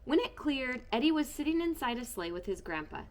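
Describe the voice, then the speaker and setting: Expressive woman reading a storybook. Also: sits high, brisk. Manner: expressive, shifting between hushed and bright. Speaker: storybook reader. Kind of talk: children's book read-aloud.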